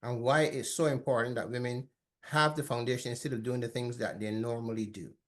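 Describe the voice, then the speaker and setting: A man's voice: medium pitch, steady tone, brisk. A man from Barbados speaking as a panelist in a discussion, hesitant and often restarting.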